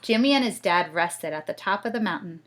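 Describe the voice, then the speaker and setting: A woman reading a bedtime story aloud to children with expressive narration. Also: on the low side, a little fast. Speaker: storybook reader.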